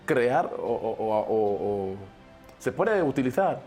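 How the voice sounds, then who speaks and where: A man's voice: medium pitch, sing-song, slow pace, mellow, soft background music. Man explaining terms step by step. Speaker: guest. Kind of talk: studio panel discussion.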